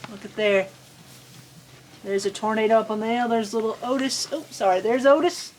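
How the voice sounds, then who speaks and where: High-voiced woman reading a children's story. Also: normal speed, slight background noise, rough recording. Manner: folksy, chatty, expressive. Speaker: storytime host reading a picture book aloud. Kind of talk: animated children's story reading with casual asides. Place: on a farm among sheep.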